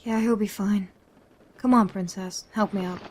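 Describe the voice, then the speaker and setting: A woman's voice: medium pitch, steady tone, moderate pace, a little mellow, close to an everyday voice. A woman playing a blunt, teasing, take-charge survivor in a zombie audio drama, casual and bossy. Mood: teasing, practical.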